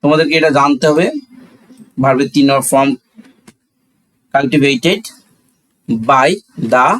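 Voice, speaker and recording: A man's voice: medium pitch, steady tone, slow pace, fairly bright tone, poor audio. A man with a Bangladeshi accent teaching a lesson step by step, repeating key phrases and asking questions that he answers himself. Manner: didactic, asks and answers own questions. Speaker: English grammar teacher. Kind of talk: classroom-style lesson explanation.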